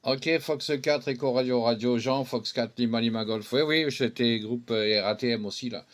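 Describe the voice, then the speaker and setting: A man's voice: medium pitch, fairly even intonation, moderate pace, heavy background noise. A middle-aged or older man chatting casually as an amateur radio operator, rambling along and tagging little filler words onto the ends of phrases. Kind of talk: casual radio contact chat.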